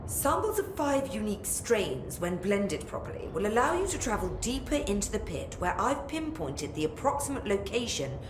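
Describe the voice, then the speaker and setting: A woman's voice: mid-range voice, sing-song, normal speed, few pauses, lightly acted. A woman acting as a brusque, self-assured research scientist in a video game, speaking with pompous, condescending precision. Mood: ominous, instructing. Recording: echoey room, noisy ambience behind.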